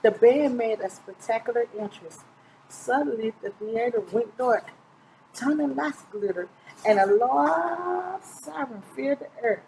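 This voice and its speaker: A woman's voice: fairly high pitch, animated, measured pace, gravelly, dark tone, a little hiss or hum, poor audio. A middle-aged woman reads a children's picture book aloud informally, stumbling now and then and correcting herself. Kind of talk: storybook read-aloud narration. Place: small indoor room, seated close to the camera.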